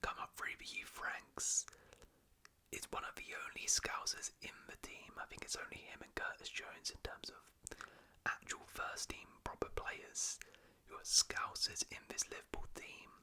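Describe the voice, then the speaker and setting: A man whispering casual, rambling talk as a football fan, with frequent restarts. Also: normal speed. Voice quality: soft whisper throughout. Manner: rambling, conversational, restarts often.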